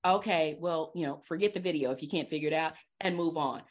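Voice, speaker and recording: A woman hosting a podcast, giving casual advice in an animated, emphatic way and repeating her key points. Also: low voice, fast. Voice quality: trained projecting voice. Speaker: podcast host.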